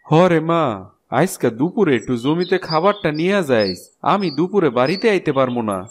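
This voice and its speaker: A man's voice: on the high side, animated, brisk, slight background noise, natural voice. A man playing a kindly, weary ageing farmer father in a cartoon, with a rural Bangladeshi Bengali accent. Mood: gentle. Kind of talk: cartoon fairy-tale acting.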